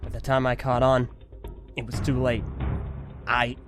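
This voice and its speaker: A man's voice: mid-range voice, monotone, a little slow, close to an everyday voice. A man playing a troubled, earnest hero of the air in an amateur audiobook drama, speaking hesitantly. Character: somber, shaken young-sounding heroic warrior of the air. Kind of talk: amateur full-cast audiobook drama acting. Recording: loud music bed under the voice.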